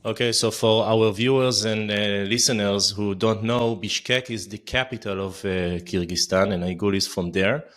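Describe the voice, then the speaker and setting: A man with a somewhat low voice hosts a travel podcast. He asks questions in a measured way, with a few hesitations as he sets each one up. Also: steady tone, fairly bright tone. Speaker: podcast host, interviewer. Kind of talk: interview questions.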